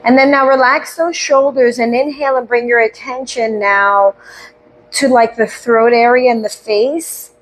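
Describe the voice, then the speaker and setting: A woman leading a guided breathing meditation, speaking slowly and soothingly with gentle questions and audible sighs. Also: a little high, fairly even intonation, rough recording.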